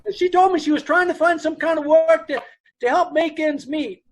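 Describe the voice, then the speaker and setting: An actor playing a brusque, chatty dance hall boss with folksy, blunt speech in an old-time radio police drama. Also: male voice, very high voice, fairly even intonation, normal speed.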